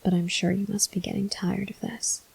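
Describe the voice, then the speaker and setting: A woman playing a brooding, guilt-ridden male vampire officer in an ASMR roleplay, whispering breathily in slow, halting, formal phrases. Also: mid-range voice, flat delivery, creaky, darkish tone, husky, full character voice. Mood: weary, grateful.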